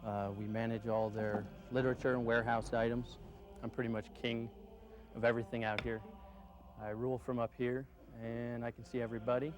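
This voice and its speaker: A man's voice: mid-range voice, little pitch movement, fairly quick. A man making joking, mock-boastful remarks in a corporate promo interview. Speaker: materials manager.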